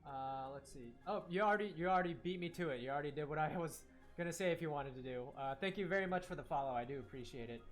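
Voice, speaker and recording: A man's voice: fairly high pitch, fast talker, few pauses, soft background music. A young man whose first language was Korean, chatting casually while live-streaming a game, rambling with lots of fillers and self-corrections. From United States.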